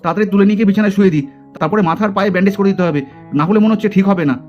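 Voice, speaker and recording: Guy playing a flustered young uncle doll, talks super fast, caring and guilty, cartoon with music under. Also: high-pitched, dull audio, natural voice. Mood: alarmed. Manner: flustered, repeats words. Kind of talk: puppet cartoon acting.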